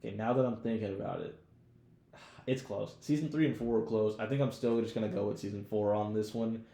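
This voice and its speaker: A man chats casually as a podcast co-host, loose and slangy with some swearing. His voice is fairly low and mellow, with a lot of fry. The room around him is audibly echoey. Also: fairly even intonation, a little fast. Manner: slangy, profane, informal. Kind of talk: casual chat, TV show discussion.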